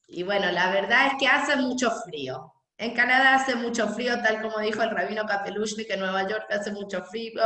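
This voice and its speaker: Slow-speaking Venezuelan woman, echoey room. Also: mid-range voice, a bit bright. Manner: unhurried, conversational storytelling. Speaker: guest speaker. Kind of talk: personal talk at an online gathering. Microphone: video call. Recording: echoey room on a video call.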